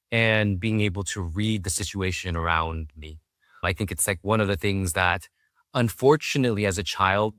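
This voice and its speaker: A man from Taiwan, a guest investor, answering interview questions in a thoughtful, measured way. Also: on the low side, fairly bright tone, dry recording, studio quality.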